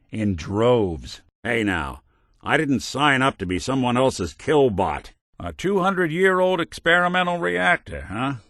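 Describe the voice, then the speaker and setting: A weary robot gumshoe from a video game. The voice sits fairly low and moves up and down with lots of expression, close and dry. He is gruff but kindly, delivering wry noir lines in old-fashioned slang. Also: fairly bright tone, no echo, full character voice. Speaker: video game companion character. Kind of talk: noir detective game dialogue.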